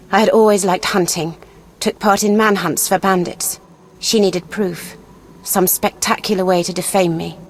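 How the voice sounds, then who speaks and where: A woman's voice: medium pitch, creaky, natural voice. Actress as a proud young noblewoman, noisy ambience behind. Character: proud, vengeful young noblewoman turned fighter. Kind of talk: video game dialogue acting.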